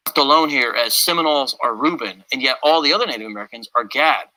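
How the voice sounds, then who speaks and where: A man's voice: mid-range voice, quick pace, bright, forceful tone, a little hiss or hum, poor audio. A man giving critical commentary in a video clip, in a skeptical, dry way.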